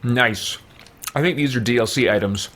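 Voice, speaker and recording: A man with a medium-pitched voice gives casual gaming commentary. He thinks aloud in a loose, rambling way, as if talking half to himself and half to viewers. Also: moderate pace, fairly bright tone, slight background noise. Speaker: gamer playing and commenting on a role-playing game. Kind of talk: casual let's play commentary.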